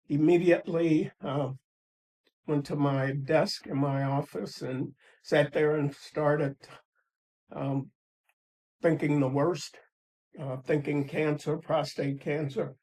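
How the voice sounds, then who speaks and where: A man in his seventies, a retired doctor, recounting his experience in a documentary interview, slow and halting, with many fillers. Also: on the high side, steady tone, darkish tone, low-quality recording. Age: 70s.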